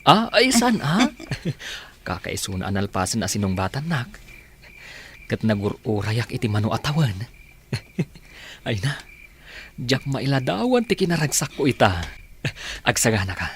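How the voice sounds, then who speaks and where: A man's voice: mid-range voice, big pitch swings, unhurried, pauses often, slight background noise. A man with an Ilocano accent playing an earnest young suitor in love in a radio drama, pleading and emotional. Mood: overjoyed.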